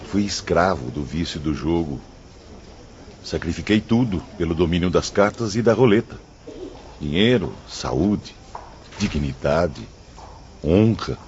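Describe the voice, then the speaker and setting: Man acting a deep-voiced, tormented ex-gambler spirit. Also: a little mellow. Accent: Brazilian. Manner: confessional, dramatic, formal diction. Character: remorseful former gambler spirit, tormented and pleading. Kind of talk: dramatized audiobook acting. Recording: dull audio, faint ambient noise.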